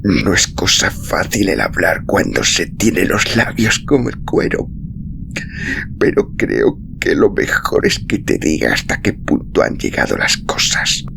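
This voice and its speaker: Man acting a gravelly, exhausted desert traveler. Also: big pitch swings, heavily acted. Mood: weary, tender. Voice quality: very low, breathy, gravelly murmur. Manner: slow, halting, tender. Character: exhausted dying traveler, gently protective of a small girl. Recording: clearly noisy recording.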